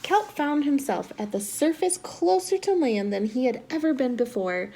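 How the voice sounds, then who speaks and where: A woman reading a storybook aloud to young children in an animated, expressive teacher's manner. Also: sits high, normal speed, slight background noise. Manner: animated, asks the listeners questions.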